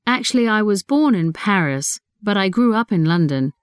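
A woman reading a scripted dialogue clearly and deliberately, as in a listening test. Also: medium pitch, animated, no room sound, clean studio sound.

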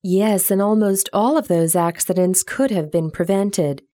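A woman reading a scripted interview answer in a measured, explanatory way. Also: medium pitch, mellow, no room sound, studio quality. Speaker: interview guest in a listening test.

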